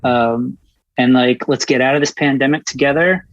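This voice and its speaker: A man's voice: medium pitch, fairly even intonation, normal speed, no room sound. A middle-aged American man speaking earnestly and candidly as a podcast guest, emphatic and informal. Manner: impassioned, emphatic, informal with swearing. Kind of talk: earnest, candid interview conversation. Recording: dull, muffled lo-fi audio.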